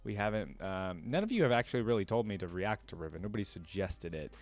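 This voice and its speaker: A man's voice: lowish voice, expressive, fast. A man making a reaction video, chatty and thinking aloud as he reads text out and comments casually.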